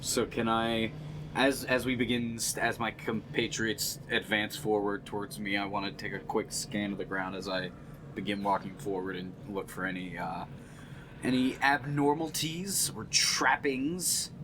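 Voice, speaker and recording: A man with a lowish voice talks casually as a tabletop game player. He improvises what his character does. The recording has clear background noise. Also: moderate pace. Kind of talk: casual improvised roleplay chat. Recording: clearly noisy room sound.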